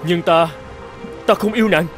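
A man's voice: high voice, fairly even intonation, normal speed, a bit bright. A man voicing a brawny, honorable but anxious island chieftain in a cartoon fairy tale, speaking in an earnest, pleading manner. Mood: alarmed, defiant. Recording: prominent music behind the voice.

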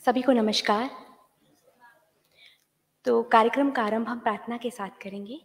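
A woman's voice: on the high side, steady tone, racing. A woman with an Indian accent politely giving instructions as she leads a group prayer in a reverberant hall. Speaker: prayer leader.

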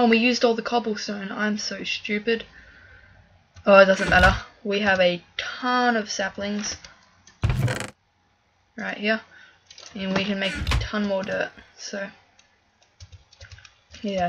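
A woman giving casual let's-play commentary as she plays, chatty and thinking aloud with fillers, a little hoarse from a cough. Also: medium pitch, steady tone, measured pace, long pauses, highs cut off, noisy background. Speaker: gaming video creator.

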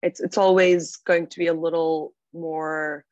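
A woman's voice: deep voice, level tone, unhurried, a little mellow, dry recording. A young woman from Turkey with a Turkish accent, a podcast guest talking thoughtfully with some fillers and restarts over a video call. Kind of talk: casual interview talk. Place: small room at home. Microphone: laptop mic on a video call. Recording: dull, dry video-call audio.

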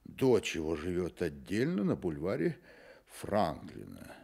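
A man's voice: deep voice, huge pitch swings, unhurried, gravelly, dark tone, slightly rough, heavily acted. An actor playing a private detective in a radio drama, wry and offhand as he probes and muses. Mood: wry musing.

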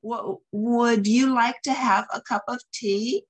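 A woman voicing a shy, lonely, polite big bear in a storybook, speaking in a hesitant, halting way. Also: a little high, fairly even intonation, voice pushed up. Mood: shy, hopeful.

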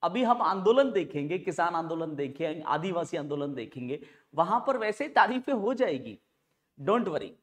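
A man in his forties with a sore throat, lecturing students deliberately and repeating key phrases as he teaches on a lapel mic. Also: fairly high pitch, very animated. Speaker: history teacher for exam students. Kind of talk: classroom lecture with asides to students. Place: indoor studio classroom at a green board. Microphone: clip-on lapel mic.

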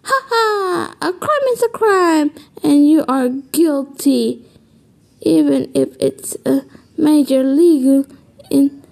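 An excitable, melodramatic cartoon crime-fighter, acted out by a woman. The voice is extremely high and swings widely in pitch. The delivery is theatrical and very slow, stretching out each exclamation. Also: gravelly, heavily acted. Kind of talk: amateur voice-acting line recordings.

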